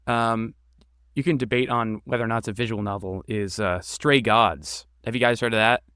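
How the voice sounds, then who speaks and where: A man's voice: mid-range voice, fairly even intonation, normal speed, a bit bright, clear, resonant tone, no room sound, studio quality. A man talking casually as an interview guest, explaining his creative work and thinking aloud as he goes. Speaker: podcast guest, game creator and voice actor.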